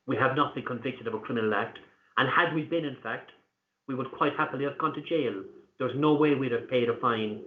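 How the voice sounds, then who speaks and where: Irish male interview guest, earnest and measured, mid pitch, echoey phone-quality line. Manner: earnest, deliberate, pausing with fillers. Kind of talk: conversational interview answers. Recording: tinny echoey call line.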